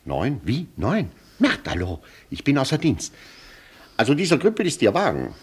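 A pedantic male police sergeant from a radio play. His medium-pitched voice rises and falls widely as he recites stiff legal phrases with officious precision. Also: huge pitch swings, normal speed, slightly rough, full character voice. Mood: brisk.

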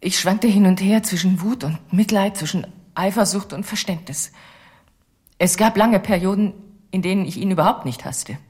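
An actress playing a weary, betrayed wife in a radio play, halting and confessional, with a husky, breathy voice. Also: female voice, medium pitch, darkish tone, natural voice. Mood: torn, wistful. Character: weary betrayed wife of a ventriloquist, resigned and bitter.

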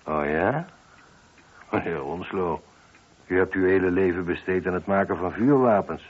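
A dull, muffled radio play recording of a police inspector. His husky, fairly low voice is measured and sceptical, pressing each question with dry insistence. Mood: probing, sceptical. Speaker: radio drama actor. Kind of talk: Dutch radio play acting. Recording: muffled, band-limited old radio recording.

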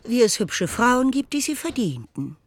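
A woman narrating a literary radio play as a composed, wry storyteller, deliberate and ironic in delivery. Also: on the high side, big pitch swings, slight background noise. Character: composed, wry storyteller presiding over the family.

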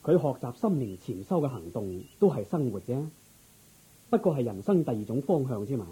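A humble yet dignified king in Cantonese radio drama. He speaks courteously in a husky, very dark voice that moves expressively up and down. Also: medium pitch, very animated, a little fast, low-quality recording. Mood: calm, admiring. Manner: stately, courteous.

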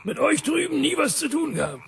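An actor playing a gruff, chatty old British major who talks in clipped bursts, with a cough, in a radio drama. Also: male voice, very high-pitched, big pitch swings, fairly quick, background noise, exaggerated voice. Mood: blunt, chatty. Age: older. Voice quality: frequent coughing, damaged breath. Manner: clipped telegraphic sentences, military bluffness.

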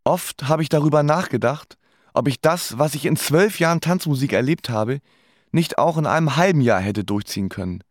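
A man's voice: on the high side, animated, normal speed, no room sound. Wry man, very dry studio sound. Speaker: narrator of an autobiographical radio play. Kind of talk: scripted first-person narration.

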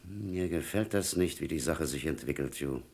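An actor playing a demanding, sceptical police superior, gruff and authoritative, in a vintage radio crime drama. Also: male voice, a bass voice, animated, normal speed, a little mellow, exaggerated voice.

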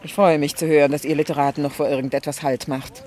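A woman's voice: low voice, level tone, quick pace, warm tone, close to an everyday voice. An actress playing a worldly, ironic middle-aged society lady in a 1960s German radio drama, teasing and questioning expressively. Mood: gossipy, teasing. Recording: faintly noisy 1960 radio recording.

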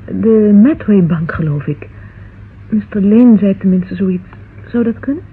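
A woman's voice: mid-range voice, lively intonation, unhurried, a little hiss or hum. An actress playing an anxious, affectionate young woman in a Dutch radio drama, hesitant and confiding. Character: anxious, affectionate young sweetheart of a police inspector. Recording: muffled, husky-sounding old radio recording.

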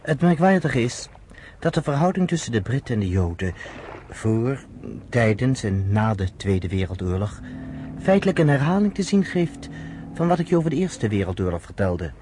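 An actor playing a man who recounts history to a woman friend, in measured, explanatory radio-play narration, on a noisy old recording. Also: medium pitch, mellow, lightly acted.

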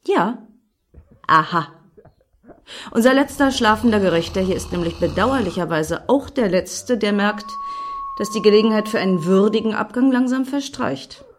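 An actress playing a scheming, grandly solemn woman in a satirical radio play, speaking in deliberate, lofty phrases. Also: mid-range voice, expressive, a little hiss or hum, lightly acted. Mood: solemn, purposeful.

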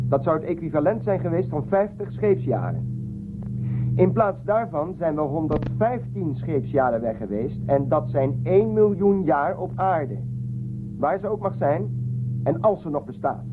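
A man's voice: fairly high pitch, noisy background. An actor playing an outspoken, sceptical spaceship crewman in a science fiction radio drama, challenging and sardonic in manner. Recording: narrow phone-like sound, music behind.

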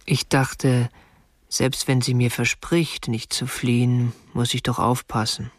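An actor playing a prim, obsessive young kidnapper in a radio drama, speaking in a stiff, formal, matter-of-fact way. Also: male voice, mid-range voice, level tone, slow, no room sound, natural voice. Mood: detached recounting. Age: 20s. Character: prim, obsessive young male kidnapper, narrating his deed. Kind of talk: radio drama narration and dialogue.

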